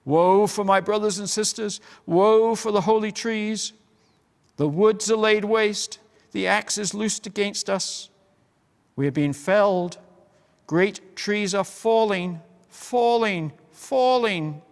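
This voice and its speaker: A man voicing a grieving female tree spirit who laments and pleads in a high, loud, wailing voice. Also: slow. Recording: long hall reverb.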